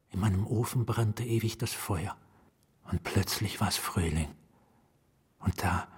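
A haunted, nameless writer confides his story in a radio play. His voice is husky and mid-pitched. He speaks haltingly and with drama, often sinking into an intimate hush. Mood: wistful, confiding. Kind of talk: German radio drama monologue.

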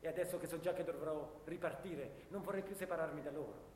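Actor as Paolo, haunted young soldier, echoing room. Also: male voice, fairly quick, darkish tone, natural voice. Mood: bitter, sorrowful. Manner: earnest, literary narration. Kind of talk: dramatic radio theatre monologue. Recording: echoey reverberant room, low rumble.

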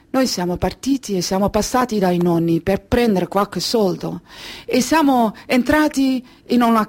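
A woman performing a theatre monologue as a grave, wistful Kurdish refugee, speaking in deliberate, halting phrases. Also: medium pitch. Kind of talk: slow theatrical monologue storytelling.

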